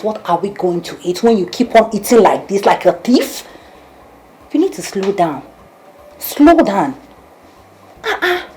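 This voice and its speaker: An actress playing a domineering, sharp-tongued, self-serving girlfriend in a film drama, scolding theatrically with rhetorical questions. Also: mid-range voice, slight background noise, low-quality recording.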